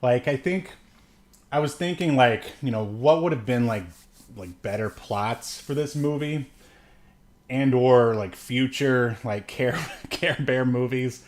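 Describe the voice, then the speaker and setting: A man co-hosting a podcast, chatting casually about films in a rambling, halting way with drawn-out musing. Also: medium pitch. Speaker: podcast co-host reviewing films. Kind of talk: casual film-review chat.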